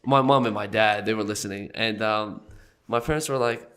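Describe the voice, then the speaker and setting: A man's voice: on the low side, normal speed, a bit bright. A young man hosting a casual podcast, congested and a little nasal from a cold, joking loosely and coughing now and then. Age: young adult. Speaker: podcast host.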